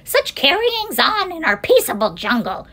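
A woman's voice: on the high side, big pitch swings, moderate pace, a bit bright, slightly rough, pitched above the performer's own voice, heavily acted. Woman doing a bossy, snappish storybook kangaroo. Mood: scornful, indignant. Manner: snappish, haughty. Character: bossy, scornful big female kangaroo, storybook villain.

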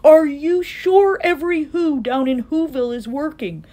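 A woman's voice: mid-range voice, sing-song, slow pace, dark tone. A woman voicing an earnest, loyal, big-hearted male elephant in a storybook, emphatic and pleading. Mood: urgent.

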